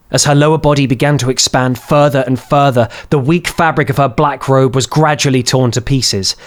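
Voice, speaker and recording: A man reading scripted fantasy horror as an audiobook narrator, in a dramatic storytelling style. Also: medium pitch.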